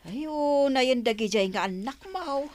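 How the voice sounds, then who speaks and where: An elderly village grandmother who wants to know everything. Her high voice swings widely in pitch, with an Ilocano accent and the exclamations of radio comedy-drama. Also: animated, normal speed. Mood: dismayed. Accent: Ilocano, northern Philippines.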